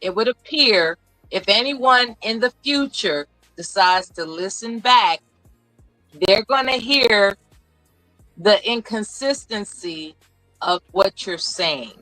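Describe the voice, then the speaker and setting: A woman with a bright, forceful voice argues on a live debate panel. She speaks in a commanding, pointed way. The sound is dry and close. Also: mid-range voice, lively intonation, slow pace, no room sound, soft background music, rough recording.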